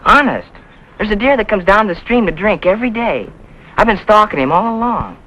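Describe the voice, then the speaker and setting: High-voiced, lively eager country boy. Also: fairly quick, heavily acted. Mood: eager, excited. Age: child. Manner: earnest, excitable, folksy. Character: eager, tender-hearted country boy yearning to hunt. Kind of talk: 1950s television drama acting. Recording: dull, noisy 1950s TV soundtrack.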